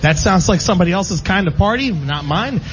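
A man with a somewhat high-pitched voice hosts casual live radio. He banters in an everyday way. The recording is slightly dull, with light background noise. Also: expressive, fairly quick. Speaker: radio show host. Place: busy casino floor, live broadcast. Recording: dull sound with faint venue noise. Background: faint casino ambience.

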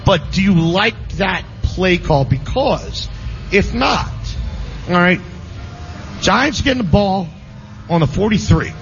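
A man hosting a casual sports radio show, talking fast and cutting in on his co-hosts. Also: high voice, expressive, vocal fry, a bit bright, faint music behind. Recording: dull lo-fi broadcast with faint hiss.

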